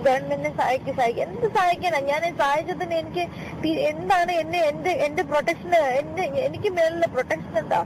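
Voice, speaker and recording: Insistent high-pitched woman on a noisy call. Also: steady tone, fast. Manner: insistent, repeats phrases, pleading complaint. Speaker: caller. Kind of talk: tense, argumentative phone conversation. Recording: noisy phone call recording, vehicle sounds.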